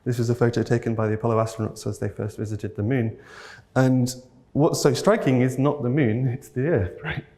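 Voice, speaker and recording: A man's voice: medium pitch, steady tone, fairly quick. A man from North Wales giving a thoughtful keynote talk, earnest and a little hesitant as he searches for words. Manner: earnest and gently enthusiastic. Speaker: keynote speaker. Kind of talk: reflective conference talk. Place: talk venue, audience present.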